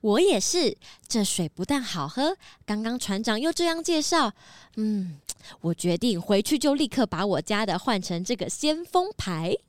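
A woman's voice: mid-range voice, big pitch swings, normal speed, no echo, studio quality, close to an everyday voice. A woman playing a friendly, eager, faint-hearted female guest with a chirpy manner in a children's audio drama. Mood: cheerful.

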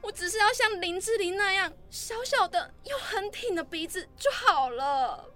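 A woman's voice: very high voice, lively intonation, moderate pace, pushed and bright, exaggerated voice. A woman playing a self-conscious, petulant schoolgirl in an audio drama, whining and pleading. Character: self-conscious schoolgirl upset about her big nose, whiny.

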